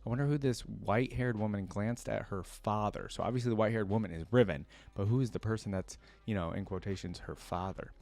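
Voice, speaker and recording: A man's voice: on the low side, a little fast, creaky, few pauses, darkish tone, no echo. A man hosting a reaction video, reading lore aloud and adding casual commentary.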